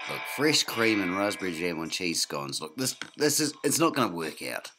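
A man with a New Zealand accent narrating as a hard-boiled private eye, his voice very deep and his pitch leaping about. The delivery is dry and deadpan, with music running under the comedy. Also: normal speed. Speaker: narrator and lead comic actor. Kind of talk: deadpan comic noir narration. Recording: music playing behind voice.

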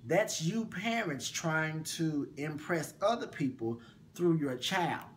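Young male co-host, sassy and lively, somewhat high voice, faint hiss behind him. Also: slow. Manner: sassy, animated, swings high in pitch. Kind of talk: casual chat. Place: small bedroom, indoors.

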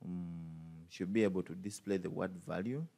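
A man's voice: low voice, steady tone, moderate pace, mellow. A man teaching a coding tutorial over a screen recording, thinking aloud with many fillers and restarts. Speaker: programming tutor.

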